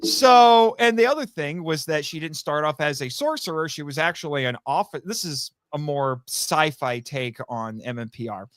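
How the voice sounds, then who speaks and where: A man with a voice a little higher than average speaks in a casual, enthusiastic interview style. He is chatty and explanatory, often doubling back to correct himself. The sound is dry, with very little room echo. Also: animated, normal speed, few pauses, a bit bright. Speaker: podcast guest, voice actor.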